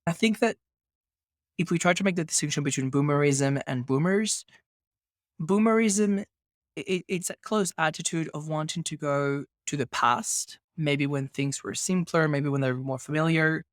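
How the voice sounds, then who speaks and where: A man's voice: on the high side, moderate pace, dry recording, studio quality. Thoughtful man hosting a podcast. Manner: thoughtful, builds points with rhetorical questions. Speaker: podcast host. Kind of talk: casual podcast conversation.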